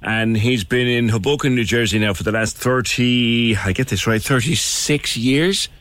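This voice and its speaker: Male radio host, mid pitch, chatty and jokey, dry studio sound, for a casual call-in style chat. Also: moderate pace, a bit bright, no room sound.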